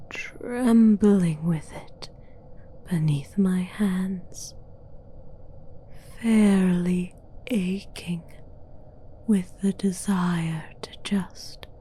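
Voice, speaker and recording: A woman playing a seductive, domineering demoness in a breathy, mostly whispered ASMR fantasy roleplay, commanding and coaxing in formal, archaic phrasing. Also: lowish voice, mellow, a little hiss or hum. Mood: soothing, seductive.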